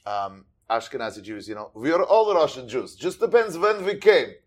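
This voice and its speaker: A man interviewing a guest, enthusiastic and animated as he tells a story. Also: mid-range voice, normal speed. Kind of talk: conversational interview.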